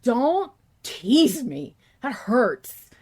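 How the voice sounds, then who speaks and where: A woman podcast host talking casually and emphatically like an excited fan, often repeating and restarting her phrases. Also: fairly high pitch, very slow, breathy edge. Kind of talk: casual fan discussion, ranting.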